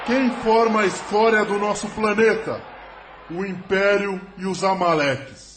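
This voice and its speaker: Man playing a slow, high-voiced solemn scientist. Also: fairly even intonation, background noise. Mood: urgent, warning. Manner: solemn, formal, deliberate oratory. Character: grave alien male scientist addressing a solemn council. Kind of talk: spiritist audio drama acting.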